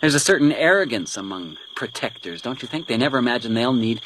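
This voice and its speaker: A man's voice: mid-range voice, expressive, fairly bright tone, lightly acted. An actor playing a menacing, vengeful man with a taunting, theatrical delivery in a detective radio drama. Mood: coldly menacing. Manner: taunting, theatrical, deliberate when reading letters aloud. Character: menacing vengeful man, grieving brother, cruelly mocking and taunting. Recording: noisy night outdoor ambience with insects.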